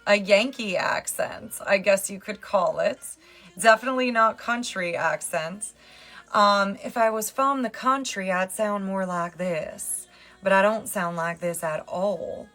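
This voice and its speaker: A woman with a New Jersey accent talks casually to her livestream viewers, joking and calling out names. Her voice is bright and forward. Faint music plays behind her. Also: mid-range voice, measured pace. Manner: chatty and joking, greets viewers by name. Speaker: fitness livestreamer. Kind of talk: casual live-stream chat.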